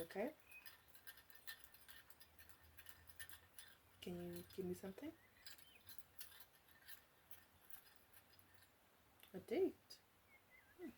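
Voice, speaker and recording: A woman playing a flirty, teasing witch in an ASMR roleplay, coaxing the listener softly with playful asides. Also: mid-range voice, slight background noise, natural voice. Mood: coaxing, curious. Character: teasing, flirty witch in her three hundreds.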